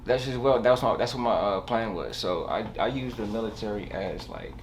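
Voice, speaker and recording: A young man talks in a low voice. He rambles casually, hesitating and leaning on slang, like a guest telling his own story. The recording has clear background noise. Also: moderate pace. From Southern California, USA. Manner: slangy, rambling, hesitant, punctuated with bro and you know. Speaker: podcast guest, barber.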